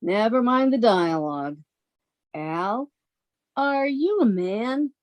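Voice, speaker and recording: Woman acting exasperated sensible young roommate, fairly high voice, wisecracking, old-time radio comedy. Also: lively intonation, takes it slowly. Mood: exasperated, wry.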